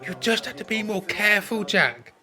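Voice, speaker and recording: An actor plays a worried, conciliatory old man in a radio drama. His voice is very high for a man, bright and lively. He sounds pleading, like the cautious friend who hates trouble. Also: normal speed, heavily acted. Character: anxious, conciliatory elderly male friend in a care home. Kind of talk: radio play acting.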